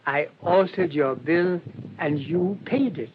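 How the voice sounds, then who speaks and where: An actor playing a mild, shrewd English priest in a radio drama, speaking in a gentle, rambling, politely hesitant way. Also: male voice, takes it slowly. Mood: calm, quietly confident. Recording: dull lo-fi radio recording, rumbling noise.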